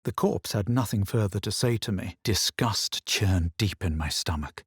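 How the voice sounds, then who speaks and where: Low, husky, haughty adventurer. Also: male voice, expressive, moderate pace, no echo, clean studio sound. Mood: grave narration. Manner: superior, clipped, dramatic. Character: haughty, self-assured adult male fantasy adventurer. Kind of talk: video game voice lines and narration.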